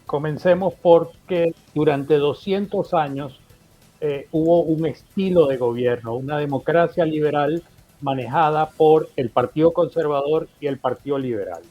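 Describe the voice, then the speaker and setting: A man who grew up in Portugal, a political consultant giving measured, explanatory answers in an interview. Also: a little high. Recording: muffled remote line with faint music.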